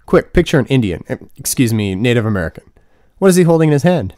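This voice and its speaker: A man's voice: mid-range voice, sing-song, fast, a little mellow, no room sound, studio quality, natural voice. A man reading the part of an indignant, lecturing college woman in an audiobook, in a pointed, argumentative manner. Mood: challenging, sarcastic.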